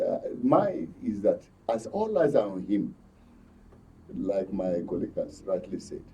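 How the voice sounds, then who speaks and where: A man with a Nigerian accent giving political commentary as a studio guest, halting but insistent, often repeating words. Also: deep voice, warm tone, slightly rough, far from the mic, low-quality recording. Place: radio and TV studio.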